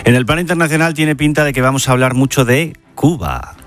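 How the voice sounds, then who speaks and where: A man's voice: medium pitch, quick pace, a bit bright, soft background music. A man with an accent from Spain hosting a radio show and commenting conversationally on the news. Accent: Spanish, from Spain.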